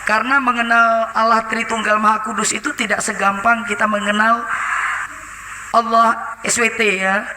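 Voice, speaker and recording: A woman's voice: a little high, level tone, normal speed. A woman from Indonesia giving a conversational church testimony through a face mask, full of hesitations and often turning to address the congregation. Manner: chatty, many hesitations, often addresses the audience. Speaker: lay preacher giving testimony. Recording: echoey hall with background noise.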